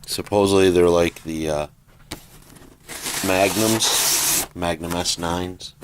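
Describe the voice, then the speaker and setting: A man giving a casual, plain-spoken product demonstration as a private seller, in an unhurried, informal way. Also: deep voice. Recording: noisy home video, steady background noise.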